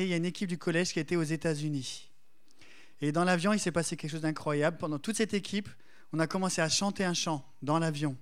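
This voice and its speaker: A man speaks earnestly to a church congregation. His voice is smooth and slightly high, and he moves along at a brisk pace, repeating phrases for emphasis. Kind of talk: church testimony and blessing.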